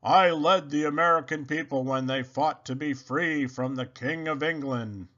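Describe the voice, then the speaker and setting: A man's voice: a little high, normal speed, a bit bright, dull audio, close to an everyday voice. A man voicing an earnest six-year-old schoolchild proudly declaiming as a president in a storybook school play. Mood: proud, declamatory.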